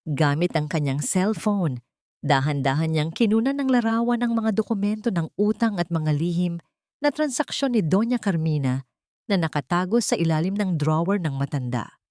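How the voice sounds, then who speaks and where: Expressive, low-pitched woman narrator. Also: moderate pace, no echo, studio quality. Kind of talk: scripted dramatic story narration.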